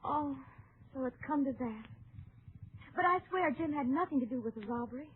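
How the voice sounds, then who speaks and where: A woman's voice: sits high, lively intonation, normal speed. An actress playing a worried, earnest young lady, polite and pleading, in an old-time radio western. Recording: noisy, tinny old radio recording.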